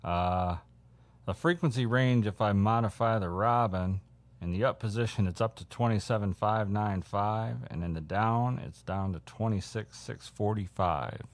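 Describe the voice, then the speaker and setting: A man hosting a casual radio hobby chat, thinking aloud in a halting way. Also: fairly low pitch, dry recording. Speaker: radio hobbyist host showing viewers CB radio gear.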